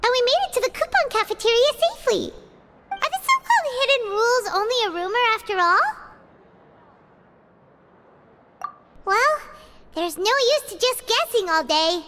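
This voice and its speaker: A woman voicing a tiny, excitable, whiny fairy-like girl companion in an anime-style video game, exclaiming like a small child. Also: very high-pitched, brisk, noisy background. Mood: wondering, eager. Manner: whiny, exclaiming, speaks of herself in third person.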